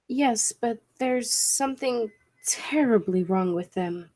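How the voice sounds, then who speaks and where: A fairly high voice with a very slow, deliberate delivery. A woman plays a skeptical scientist, earnest and emphatic, in the manner of 1940s radio drama. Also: darkish tone. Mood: dismayed. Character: Dudley, skeptical, intense scientist and professor. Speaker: amateur drama club actor. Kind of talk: 1940s radio play acting.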